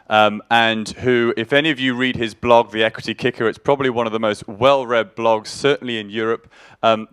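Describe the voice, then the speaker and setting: A man's voice: fairly low pitch, a bit bright, clear, resonant tone. A man compering a demo-day event on stage, upbeat and full of superlatives as he introduces the next speaker. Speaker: event host.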